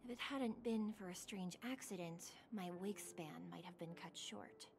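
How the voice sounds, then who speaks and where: A woman playing a stern, dutiful female judge in a video game, speaking in a formal, grave, measured way. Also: lowish voice, gravelly. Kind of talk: scripted video game voice acting.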